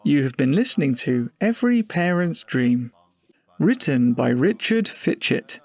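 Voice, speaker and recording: Casting: man with a lightly high-pitched voice as podcast drama announcer, reading credits. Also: big pitch swings, a little slow, a little mellow. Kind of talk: scripted credits read.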